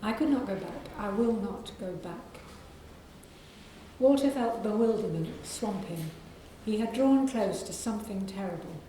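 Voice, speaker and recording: A woman with a soft, croaky voice and a mellow, dark tone reads from her own novel, measured and unhurried. She speaks in a very echoey library room with faint background noise. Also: medium pitch, lively intonation. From England. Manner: measured reading with clearing of the throat. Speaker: author reading her novel. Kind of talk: reading aloud from a novel at a library event.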